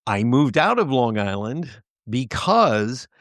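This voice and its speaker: A man's voice: mid-range voice, sing-song, slow pace, no echo. An American man from Pennsylvania hosting a casual interview in a chummy, enthusiastic way. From Pennsylvania, United States. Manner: chummy, enthusiastic, laughs easily. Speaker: show host.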